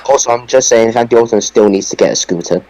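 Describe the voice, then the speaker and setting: A man's voice: mid-range voice, fast talker, warm tone, lo-fi sound. A man co-hosting a casual podcast, giving short, matter-of-fact verdicts. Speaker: podcast co-host. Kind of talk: casual chat.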